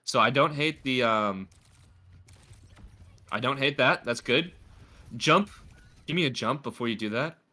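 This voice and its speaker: Bright-voiced man coaching, stuffy nose. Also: medium pitch, a little slow, long pauses. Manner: chatty, lots of filler words. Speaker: game coach. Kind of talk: casual gameplay review.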